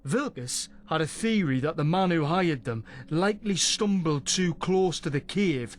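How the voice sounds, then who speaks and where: An actor playing a boisterous, rough British adventurer in a fantasy game, telling tales in a salty, wry way. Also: male voice, on the high side, animated, unhurried. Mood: reflective. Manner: salty, foul-mouthed, wry storyteller. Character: boisterous rough male adventurer spinning a monster tale. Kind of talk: in-character storytelling in a fantasy game.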